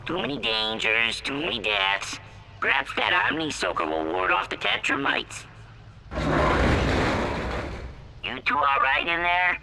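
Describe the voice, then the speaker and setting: An actor voicing an excitable, folksy alien villager in an animated game cutscene. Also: male voice, high-pitched, moderate pace, gravelly, loud music behind the voice, exaggerated voice, poor audio. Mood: folksy, wary. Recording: loud vehicle and battle noise behind.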